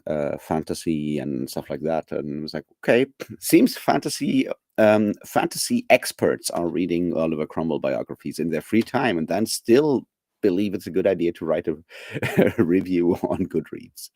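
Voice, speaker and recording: Man, low mellow voice, casual rambling streamer chat, dry close mic. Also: expressive, normal speed, no room sound. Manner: rambling, many fillers and restarts; chuckles often. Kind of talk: casual chat to live viewers.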